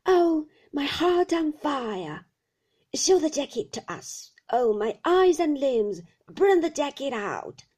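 A woman's voice: high voice, big pitch swings, takes it slowly, darkish tone, pitched above the performer's own voice, heavily acted. A woman voicing a fierce, half-mad old male shopkeeper in a storybook, with a whining, throat-rattling, sing-song delivery. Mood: frantic eagerness. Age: older. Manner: wailing sing-song tune, rising and falling, exclamatory.

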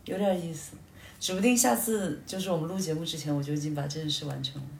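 A woman's voice: low voice, steady tone, fast, vocal fry, a little mellow, slight background noise. A woman hosting a casual podcast chat, attentive, often giving short agreeing replies. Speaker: podcast host.

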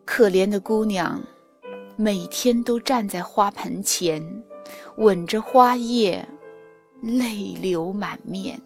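A woman with a standard Mandarin accent narrating a fairy tale for children in an expressive, carefully enunciated manner, as a storyteller and teacher would. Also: mid-range voice, takes it slowly. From China. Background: music under the narration.

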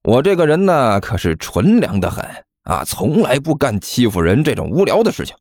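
Man acting a smug, teasing, animated chubby student. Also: medium pitch, moderate pace, no echo, clean studio sound. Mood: gleeful gloating. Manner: drawling, mock-concerned, jeering. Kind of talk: audiobook character acting.

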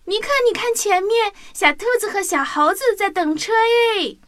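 A woman voicing an eager, excitable little girl in a children's audio drama. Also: extremely high pitch, normal speed, music in the background. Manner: eager, exclaiming. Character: excitable little girl train passenger Nina.

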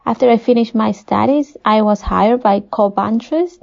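A woman from Peru with a Spanish accent, a poultry nutritionist giving measured, formal, prepared answers as an interview guest. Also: on the high side, creaky, darkish tone. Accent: Peruvian Spanish. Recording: dull, muffled lo-fi audio.

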